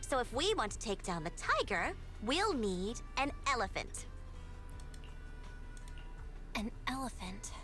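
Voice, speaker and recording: A brash young mercenary boss with a high, very animated voice. She boasts and teases theatrically, like a video game schemer with a plan for everything. Music plays behind her. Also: moderate pace. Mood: boastful, scheming. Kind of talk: anime-style video game voice acting. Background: background music.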